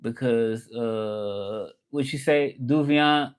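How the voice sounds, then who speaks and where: A man's voice: mid-range voice, drawn-out words, clear, resonant tone. Southern American man chatting live. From Memphis, Tennessee, USA. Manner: repeats phrases, talks straight to viewers, switches languages mid-thought. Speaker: live streamer and language teacher. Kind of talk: casual live-stream chat, switching between English and French.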